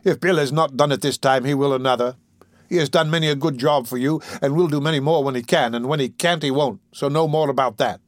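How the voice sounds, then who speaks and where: A man's voice: medium pitch, fast talker, no echo, natural voice. A man voicing a bitter, defiant young woman from a criminal gang in an audiobook, with impassioned delivery. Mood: weary.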